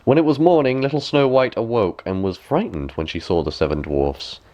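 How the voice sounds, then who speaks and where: A man reading a bedtime fairy tale aloud as an expressive storybook narrator. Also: mid-range voice, moderate pace.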